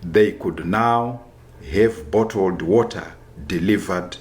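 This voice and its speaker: A man reading a scripted folktale as a storyteller, in a deliberate, expressive manner. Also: sits low.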